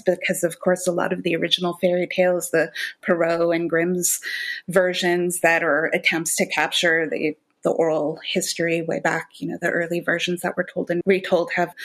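A woman with a slightly low-pitched voice speaks as a guest in a literary interview. She is a writer and teacher who thinks aloud in long, articulate, reflective stretches. Her talk is lightly sprinkled with laughter. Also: fairly bright tone.

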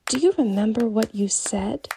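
A woman voicing a practical seamstress bride-to-be in a short story, gently probing with questions. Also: on the high side, moderate pace, creaky, noisy background. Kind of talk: audiobook character dialogue.

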